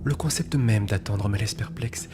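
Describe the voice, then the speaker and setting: Man playing an imperious, hushed, low-voiced hacker boss. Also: moderate pace, lightly acted. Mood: haughty, precise. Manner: precise, formal, clipped condescension. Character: imperious, time-obsessed criminal hacker leader, cold and condescending. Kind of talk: dubbed TV thriller acting. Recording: loud music under the voice.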